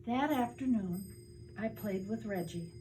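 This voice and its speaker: An older woman, a grandmother, reading a children's storybook aloud in a deliberate, animated storytelling way. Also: medium pitch, over music, poor audio.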